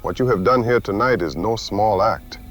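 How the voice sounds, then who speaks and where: Man playing a low-voiced, solemn war party leader. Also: normal speed, a bit bright, close to an everyday voice. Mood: grateful, solemn. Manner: formal, dignified. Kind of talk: audio adventure drama acting.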